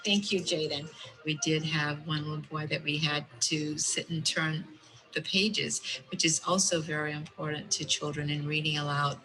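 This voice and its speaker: A woman speaking as a preschool teacher, instructive and deliberate, using simple wording. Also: deep voice, level tone, music in the background, low-quality recording. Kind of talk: instructive talk to and about young children.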